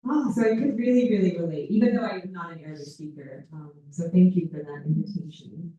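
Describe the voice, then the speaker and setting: A woman moderating a book event, appreciative and gently encouraging as she opens the audience questions. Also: fairly low pitch, brisk, dull audio. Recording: roomy hall picked up from far off.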